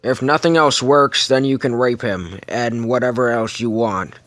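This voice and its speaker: A man voicing a brutal villain boss in an amateur comic dub, threatening, pompous and deliberate. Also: mid-range voice, a bit bright, no room sound, natural voice. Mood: commanding. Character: menacing brutal villain boss interrogating a captive.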